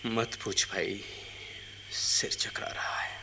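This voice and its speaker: An actor in a radio drama playing a simple, troubled elephant-catcher, plaintive and halting, often repeating his phrases. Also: fairly low pitch, steady tone, vocal fry, sharp tone, whispered, exaggerated voice. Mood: troubled, restless.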